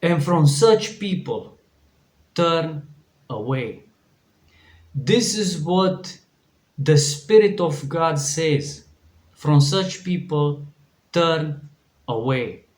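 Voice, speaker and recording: Earnest Romanian-accented male preacher, fairly high voice, slow and deliberate, restarting phrases, in a reverberant room. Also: lively intonation, darkish tone. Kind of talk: devotional sermon. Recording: echoey room.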